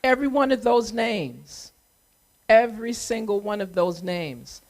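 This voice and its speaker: Female event host for a remembrance address. Very unhurried delivery, mid-range pitch, emphatic and deliberate. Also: expressive, a little mellow.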